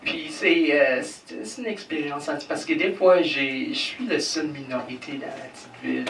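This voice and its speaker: A man's voice: fairly high pitch, moderate pace, a bit bright. Male stand-up, non-native speaker, crude crowd banter; noisy, roomy live recording from a distance. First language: English. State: nervous. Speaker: stand-up comedian. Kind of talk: stand-up set in a comedy club. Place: comedy club stage. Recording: echoey club from far off, noisy.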